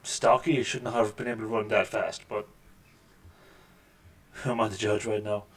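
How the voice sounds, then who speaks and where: A man with a deep voice hosting a casual let's-play, making wry, joking remarks over the gameplay at a desk microphone. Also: flat delivery, normal speed, breathy edge. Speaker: gaming channel host. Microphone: desk microphone with mixer.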